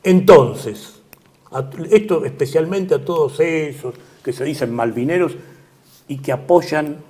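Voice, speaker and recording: An older man with an Argentine Spanish accent giving measured political commentary as an interview guest, trailing off at the ends of phrases and filling pauses with "este". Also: fairly high pitch, darkish tone, breathy edge.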